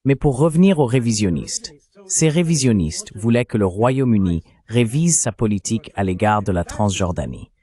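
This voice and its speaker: A man reading a measured, explanatory French voice-over of an interview. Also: fairly low pitch, animated, dark tone. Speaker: translation voice-over.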